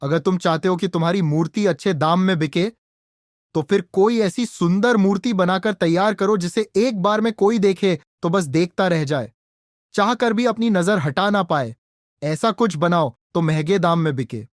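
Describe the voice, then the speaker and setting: A man's voice: high voice, moderate pace, dry recording, natural voice. A man plays a crafty village landlord in a Hindi cartoon. He is dismissive yet persuasive, a cheat talking down to villagers. The voice is recorded close and dry. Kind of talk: Hindi cartoon story dubbing.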